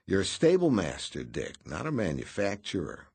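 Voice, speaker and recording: A man's voice: low voice, wildly swooping pitch, moderate pace, vocal fry, a little mellow, breathy edge, no echo, full character voice. A man voicing a small, elegant scientist who speaks in a dry, sardonic and polite way.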